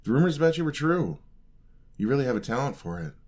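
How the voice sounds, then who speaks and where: A man's voice: medium pitch, very animated, fast talker, natural voice. A man playing a sweet, eager young paladin apprentice in a fantasy ASMR roleplay, speaking politely and earnestly to the listener. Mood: admiring, helpful. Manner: polite, earnest, gently encouraging.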